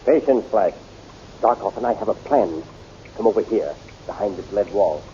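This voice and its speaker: Male actor as a steady nobleman, deep, dark voice, measured; 1930s radio serial, muffled old recording. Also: slight background noise, natural voice. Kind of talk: 1930s radio drama acting.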